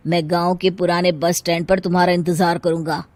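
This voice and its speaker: A woman as low-voiced scheming secret lover. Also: little pitch movement, fairly quick, a little mellow, no echo, natural voice. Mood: conspiratorial. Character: young man, secret lover, conspiratorial schemer. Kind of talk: Hindi cartoon story voice acting.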